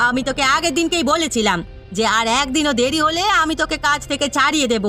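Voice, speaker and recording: A woman voicing a stern middle-aged village housewife in a cartoon, with a sharp, imperious, scolding manner. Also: sits high, fast, music in the background, natural voice. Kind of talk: Bengali cartoon story voice acting.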